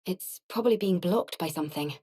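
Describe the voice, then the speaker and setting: An actress playing a calm, capable female warrior in a game cutscene, speaking matter-of-factly. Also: lowish voice, fairly quick, slightly rough. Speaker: diver volunteering to check a drain. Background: game music.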